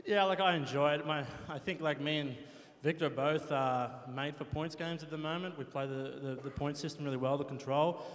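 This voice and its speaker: A man's voice: on the high side, brisk, hardly any pauses. A man giving a casual, easygoing post-match interview. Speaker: competitor being interviewed. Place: competition arena. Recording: echoey hall with long reverb.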